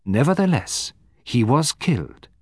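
A man playing a composed, formal detective from abroad, speaking deliberately with dramatic emphasis in an audiobook. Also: medium pitch, very animated, darkish tone, breathy edge, no room sound, clean studio sound, voice pushed up. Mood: firm, revealing. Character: composed, precise foreign male detective, quietly theatrical.

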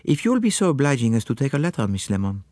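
A fussy, precise older detective, as a male narrator puts him on, with a polite, measured manner and soft murmurs. His lines sound as though they are heard from a step back from the mic, in a dry room. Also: mid-range voice, big pitch swings, no room sound. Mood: courteous.

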